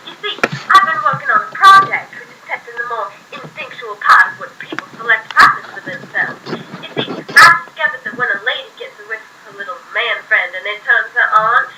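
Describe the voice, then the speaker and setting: A young woman putting on the voice of a coy lady scientist with a breathy, suggestive delivery. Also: fairly high pitch, expressive, brisk, muffled recording, heavy background noise, close to an everyday voice. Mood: saucy. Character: prim female scientist, coy and saucy.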